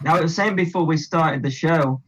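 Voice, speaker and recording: A man's voice: fairly high pitch, moderate pace, fairly bright tone, breathy edge, muffled recording. Teasing, inquisitive male podcast co-host. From northern England. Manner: teasing, inquisitive, laughs easily. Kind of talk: casual podcast chat.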